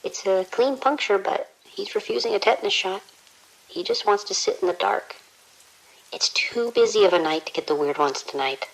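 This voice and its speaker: A woman playing a harried, brisk emergency room nurse in an audio drama, reporting in a clipped, businesslike way. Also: medium pitch, gravelly, low-quality recording. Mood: wry, businesslike.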